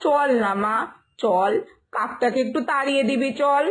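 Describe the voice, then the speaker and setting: A woman voicing an exasperated, superstitious village mother who scolds and wails in a cartoon fairytale. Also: unhurried. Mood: scolding, pleading. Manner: shrill scolding, wailing complaints.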